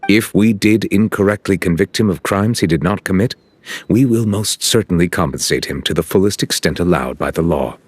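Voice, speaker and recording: An actor playing a solemn, courteous chief justice in a video game, speaking in formal, measured, stately phrases. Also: male voice, deep voice, husky. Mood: formal, composed. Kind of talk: scripted game cutscene dialogue.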